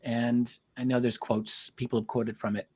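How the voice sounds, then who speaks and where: Guy hosting an interview, mid pitch voice, kinda halting and thoughtful, just talking it through. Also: level tone, darkish tone, husky. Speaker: host interviewing a documentary filmmaker. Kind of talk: conversational video interview.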